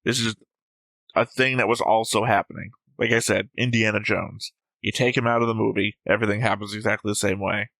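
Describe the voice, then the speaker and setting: Bright-voiced man reviewing his notes. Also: medium pitch, no echo. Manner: analytical, jokey, acts out quotes. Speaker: reaction show co-host. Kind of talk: casual discussion reading notes.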